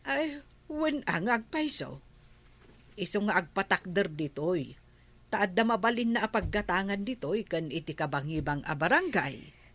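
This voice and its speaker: A woman's voice: medium pitch, lively intonation, measured pace, a bit bright. A woman with an Ilocano Filipino accent playing a practical, chatty village woman in a comedy drama.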